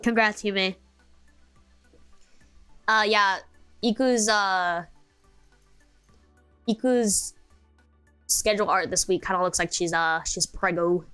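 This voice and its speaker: Sleepy bright-voiced young woman streamer. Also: mid-range voice, steady tone, takes it slowly, long pauses, clear, resonant tone, faint music behind. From United States. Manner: rambling, many fillers and restarts, giggly. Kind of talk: casual chat stream.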